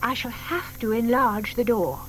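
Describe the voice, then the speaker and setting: A woman's voice: a little high, measured pace, fairly bright tone, a little hiss or hum, low-quality recording. An actress playing a genteel, doting older British lady in an audio drama, gushing, fussing and polite. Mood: concerned, puzzled.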